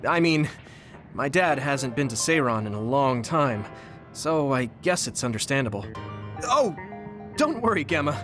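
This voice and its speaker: A man playing a friendly, easily flustered young soldier in an English anime game, expressive and boyish. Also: medium pitch, measured pace, over music. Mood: open, casual.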